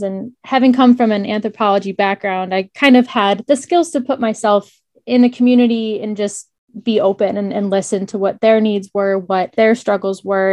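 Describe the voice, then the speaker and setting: A young woman in her twenties shares her story as an interview guest. She sounds earnest and reflective, with a few small hesitations. Her voice sits at a middle pitch, and the recording is dry and close, with no room sound. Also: a little mellow, no room sound. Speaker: student guest.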